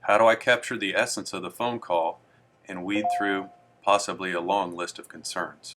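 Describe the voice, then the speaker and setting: A man reads scripted instructional narration as a training presenter, in a measured, formal manner. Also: low-pitched, steady tone, noisy background.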